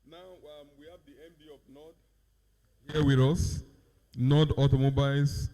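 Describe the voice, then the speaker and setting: A man announces speakers at an event with formal phrasing and occasional filler pauses. His voice is mellow, and it carries a long reverb from the hall. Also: medium pitch, flat delivery, clear, resonant tone. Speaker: master of ceremonies.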